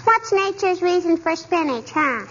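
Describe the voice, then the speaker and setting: Pert, nosy little girl, very high voice, old radio comedy, scratchy noisy recording. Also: big pitch swings, lo-fi sound, exaggerated voice. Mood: curious, innocent. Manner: childish, repeats catchphrases, drawn-out questions. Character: pert, inquisitive little neighbor girl, sly and cheeky. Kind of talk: 1940s radio comedy acting.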